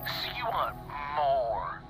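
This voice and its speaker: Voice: mid-range voice, wildly swooping pitch, takes it slowly. A voice actor playing an eager, inquisitive tour passenger asking questions in a game. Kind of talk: game dialogue. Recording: game audio with music behind. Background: music.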